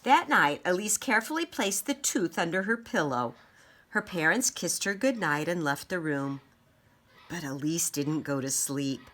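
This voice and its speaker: Children's story narrator, woman, bright clear-cut tone, lively melodic range. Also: lowish voice, unhurried. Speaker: storybook reader.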